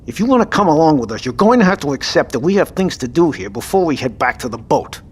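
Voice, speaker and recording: A man's voice: fairly high pitch, sing-song, quick pace, breathy edge, exaggerated voice. A man playing a stern, duty-bound military captain in an audio drama. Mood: irritated, firm.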